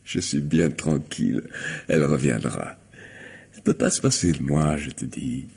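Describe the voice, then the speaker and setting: An actor playing a cruel, domineering and manipulative lover in a radio mystery drama, commanding and mocking. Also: male voice, extremely low pitch, normal speed, gravelly, a little mellow, breathy edge, exaggerated voice. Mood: smug.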